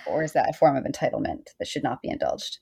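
A woman co-hosting a podcast in casual chat, wry and articulate, quick to laugh. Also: very deep voice, big pitch swings, gravelly, dark tone, a little hiss or hum. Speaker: podcast co-host.

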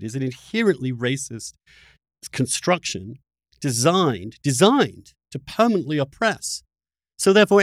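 A man's voice: fairly high pitch, dry recording, studio quality. A middle-aged man hosting a conversational political debate, arguing emphatically in formal phrasing. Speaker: podcast host.

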